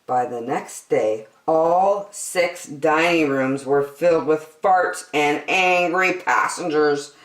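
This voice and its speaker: A woman reading a children's picture book aloud as a story-time host, with an expressive, dramatic delivery. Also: low voice, slow, few pauses. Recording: echoey room.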